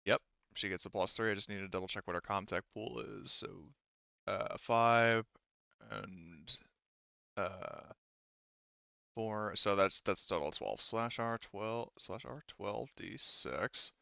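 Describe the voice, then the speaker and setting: A man running an online tabletop roleplaying game as game master, talking casually and haltingly as he thinks aloud and corrects himself. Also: lowish voice, creaky, bright, forceful tone, no echo, highs cut off.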